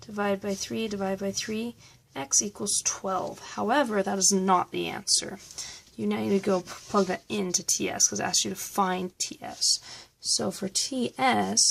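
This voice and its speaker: Woman math teacher walking thru review problems, kinda hoarse, raspy low fry in her voice. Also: fairly even intonation, unhurried, a little hiss or hum. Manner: patient, step-by-step explaining. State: slightly hoarse, losing her voice. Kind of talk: recorded math review lesson.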